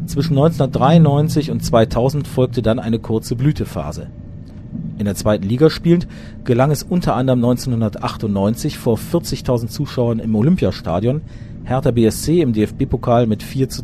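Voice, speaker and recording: A man in the middle of the pitch range narrates a scripted documentary report, reading dates and facts in a measured, matter-of-fact way. The recording is noisy, with traffic sound running clearly behind the voice.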